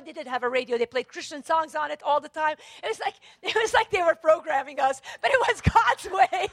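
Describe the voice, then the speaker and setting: A woman from Lebanon preaching a sermon, repeating phrases for emphasis and moving between hushed and forceful delivery. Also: very high-pitched, dry recording. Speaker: preacher, Bible teacher. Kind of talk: sermon with scripture reading and personal testimony.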